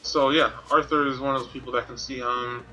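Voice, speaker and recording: Male Let's Play streamer, bright forward voice, casual, thinking aloud, faint music underneath. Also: medium pitch, fairly even intonation, a little fast, poor audio. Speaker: gaming streamer. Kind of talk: casual Let's Play commentary, sometimes reading game text.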